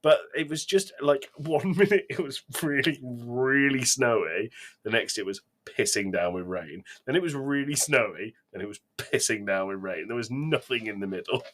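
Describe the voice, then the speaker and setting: A man's voice: mid-range voice, normal speed, a bit bright. A British man hosting a podcast in casual chat, sweary and sarcastic, often slipping into mocking quotes. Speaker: podcast host.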